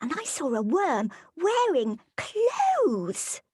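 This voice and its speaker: Little kid, very high, squeaky, whiny, cartoon style. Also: female voice, wildly swooping pitch, drawn-out words, darkish tone, dry recording, studio quality, exaggerated voice. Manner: squeaky, childish. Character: little child, whiny and wide-eyed. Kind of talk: animation script table read.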